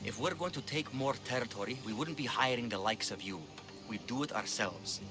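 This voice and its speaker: A man's voice: mid-range voice, animated, brisk, music in the background, lightly acted. A man playing an overworked, ruthless pirate lieutenant in a video game, blunt and world-weary. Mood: forceful, satisfied. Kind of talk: video game cutscene acting.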